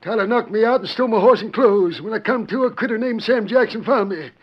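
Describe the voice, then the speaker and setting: High-voiced, nervous western drifter. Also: male voice, quick pace, breathy edge. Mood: anxious, pleading. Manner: pleading, rustic. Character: nervous, self-excusing Old West drifter caught lying. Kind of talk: 1940s radio western acting. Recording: lo-fi 1940s radio recording.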